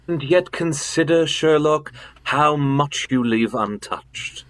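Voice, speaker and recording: A man acting a weighty, authoritative gentleman in a radio play, urging and commanding. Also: on the high side, slow, poor audio. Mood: measured, challenging. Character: weighty, authoritative gentleman, urgent elder brother of detective.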